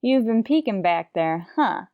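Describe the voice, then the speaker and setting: A woman playing a quick-witted schoolteacher with dry, sardonic asides in a radio comedy. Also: on the high side, expressive, dry recording, narrow, tinny audio. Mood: dry, exasperated. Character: wry, quick-witted female schoolteacher fending off suitor. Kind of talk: old-time radio comedy acting.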